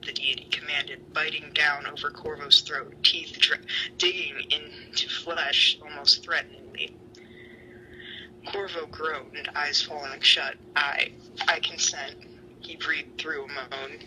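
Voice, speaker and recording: A man with a very bright, slightly high voice reads fan fiction in a breathy, theatrical way, stumbling now and then. There is faint noise on the recording. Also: rough recording. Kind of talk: dramatic reading of fan fiction.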